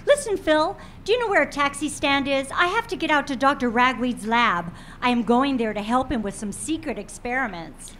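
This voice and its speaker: A woman's voice: high-pitched, animated, normal speed, few pauses, a bit bright. A woman playing a perky, wry young college student in a comic audio drama. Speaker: voice actor. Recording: clearly noisy recording.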